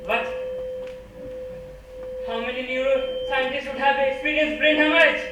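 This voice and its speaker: Desperate woman scientist, acted by a woman, Indian accent, stage play, repetitive halting delivery, heavy echo, distant mic. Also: high-pitched, steady tone, loud music behind the voice. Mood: agitated, determined. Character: panicking woman neuroscientist fighting a stroke, despairing. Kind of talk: amateur stage play acting. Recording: echoey noisy stage, distant microphone.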